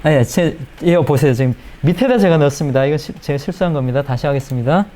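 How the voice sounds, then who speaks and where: Male tutorial presenter, middle-aged, voice a bit high, explains stepwise and checks in with questions. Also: expressive, fast talker, dark tone. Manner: explanatory, step by step, often checking with rhetorical questions. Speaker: video editing instructor. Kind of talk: spoken screen-recorded software tutorial.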